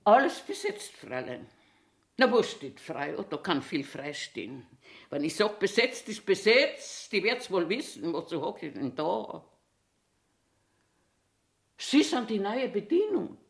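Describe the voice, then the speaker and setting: A woman's voice: mid-range voice, very animated, unhurried, gravelly. A woman in her eighties with a Bavarian accent, playing a cranky, eccentric old washroom attendant grumbling to herself in a theatrical monologue. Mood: bossy scolding. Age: 80s. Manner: grumbling self-talk, swinging between scolding and muttering. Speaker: actor.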